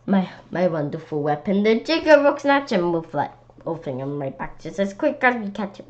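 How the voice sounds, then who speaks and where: A woman reading a storybook aloud with casual asides, in a halting way, stumbling over and repeating words. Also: fairly low pitch, very animated, a little mellow, dull audio. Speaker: read-aloud presenter.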